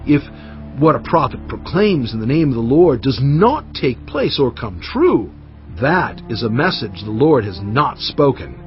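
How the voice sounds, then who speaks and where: A man narrates scripture with a very lively, swooping range and a medium pitch. His delivery is solemn, measured and emphatic. The recording is slightly muffled, with faint cinematic music underneath.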